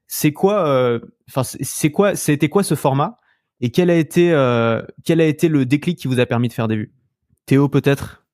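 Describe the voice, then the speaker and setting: A man's voice: a little high, moderate pace, a little mellow, no echo, clean studio sound. A man hosting a podcast, asking probing questions in a hesitant, filler-laden way. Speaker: podcast host. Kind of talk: casual interview.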